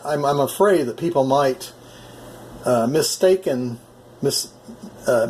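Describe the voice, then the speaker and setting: A middle-aged American man talks in a casual ham radio conversation. His delivery is measured and halting as he explains things at a mid-range pitch. Faint noise sits behind his voice. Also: dark tone, poor audio. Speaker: amateur radio operator.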